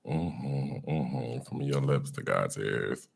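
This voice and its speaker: Very deep-voiced man, easygoing podcast host. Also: takes it slowly, vocal fry, husky. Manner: easygoing, joking. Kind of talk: casual podcast chat.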